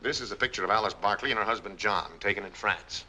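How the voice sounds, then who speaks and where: An actor playing a brisk, no-nonsense private investigator, terse and questioning, in a 1940s mystery film. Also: male voice, on the low side, sharp tone. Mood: brisk, matter-of-fact. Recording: noisy old film soundtrack.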